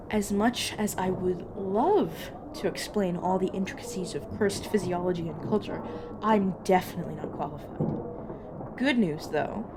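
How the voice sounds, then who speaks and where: A woman playing a weary, apologetic supernatural agent in an audio roleplay, explaining things patiently with hesitant, casual asides. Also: mid-range voice. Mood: explaining, earnest. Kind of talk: narrative audio roleplay acting, addressed to listener. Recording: clearly noisy, background ambience effects.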